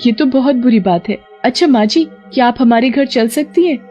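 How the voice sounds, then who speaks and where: A woman's voice: a little high, normal speed, mellow, highs cut off, faint music behind, voice pushed up, close to an everyday voice. A woman voicing a kind-hearted, chatty college-age daughter in a storybook tale, speaking eagerly and pleadingly. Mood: sympathetic.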